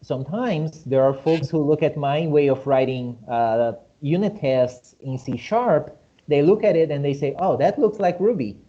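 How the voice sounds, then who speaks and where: Brazilian-accented man, mellow and muffled. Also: normal speed. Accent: Brazilian Portuguese. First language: Portuguese. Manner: animated, explanatory, with rhetorical questions. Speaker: host. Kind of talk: casual discussion. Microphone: video call. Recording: muffled low-fidelity video call audio.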